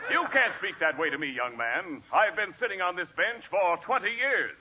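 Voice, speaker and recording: Comic judge: stern, pompous, piercingly bright and high, old muffled radio recording. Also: male voice, big pitch swings, normal speed, slightly rough, heavily acted. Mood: indignant. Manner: pompous, formal, declamatory. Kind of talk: 1940s radio comedy acting. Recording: faintly noisy, band-limited 1940s radio recording.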